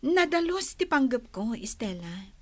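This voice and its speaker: Sweet-talking rival for Ilocano radio drama: very high, gushing, unhurried. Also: female voice, big pitch swings. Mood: eager, coaxing. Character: Carla, sweet-talking woman courting her rival's friendship.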